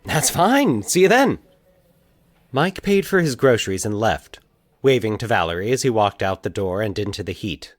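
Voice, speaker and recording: A man's voice: fairly low pitch, animated, fairly quick, dry recording. A man reading scripted fiction as the narrator of a story.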